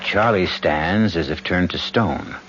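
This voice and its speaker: A man's voice: sits low, takes it slowly, fairly bright tone, slight background noise. A man hosting and narrating a radio mystery in a dramatic, scripted style. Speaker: host and narrator. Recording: muffled old radio broadcast, music behind. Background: clear music.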